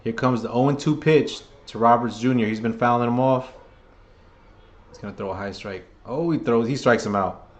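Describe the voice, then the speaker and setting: Male fan commentator, middle-pitched, hoarse and under the weather, rallies his chat with casual play-by-play. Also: normal speed, lo-fi sound. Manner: chatty, hyped, keeps rallying the chat. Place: at home, seated at a table.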